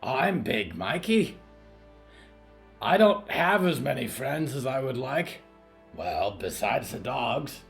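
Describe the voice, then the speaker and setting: A man voicing a huge, friendly, lonely stranger who talks slowly and simply, in a scripted horror audio drama. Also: medium pitch, very animated, creaky, faint music behind, full character voice. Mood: friendly, shy.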